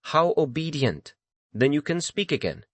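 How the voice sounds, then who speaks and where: A man's voice: fairly high pitch, sing-song, moderate pace, a bit bright, no echo, voice pushed up. Man acting a smug, teasing young master. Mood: smug, amused. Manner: casual, mock-polite, taunting. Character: calm, teasing, coldly powerful young male master. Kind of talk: dramatized audiobook.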